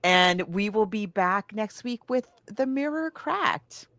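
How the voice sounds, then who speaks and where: A woman's voice: medium pitch, expressive, takes it slowly, a bit bright, dry recording. A woman co-hosting a podcast, chatting casually and with gushing enthusiasm about films and books. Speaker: podcast co-host. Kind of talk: casual film and book discussion.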